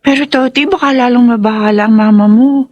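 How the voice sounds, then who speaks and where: A woman's voice: on the high side, fairly quick, a little mellow, no echo, dull audio, close to an everyday voice. An actress playing an inquisitive, concerned young girl in a radio drama, earnest and questioning, with a Filipino accent. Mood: curious, concerned.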